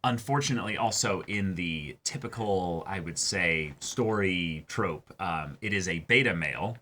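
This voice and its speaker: A man co-hosting a reaction show, talking through his analysis casually in a halting, emphatic way. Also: low voice, sharp tone.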